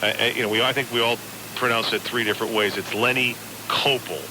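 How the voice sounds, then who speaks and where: A man's voice: mid-range voice, steady tone, quick pace. A man talking as a studio guest in a live radio interview. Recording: very noisy live radio broadcast.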